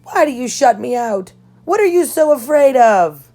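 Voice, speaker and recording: Woman voicing impulsive young storybook princess: earnest, emotional, raised pitch, animated intonation. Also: normal speed. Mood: hurt, demanding. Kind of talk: storybook read-aloud dialogue. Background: background music.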